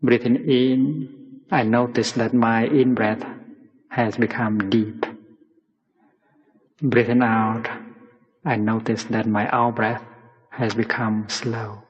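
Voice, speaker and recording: A man with a husky voice guides a meditation at a very slow pace. He speaks in short, gentle, deliberate phrases and leaves long pauses. His voice carries a long reverb, as in a big hall. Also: mid-range voice, level tone, lo-fi sound. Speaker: meditation teacher leading a guided mindfulness exercise. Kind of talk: guided meditation talk to a community.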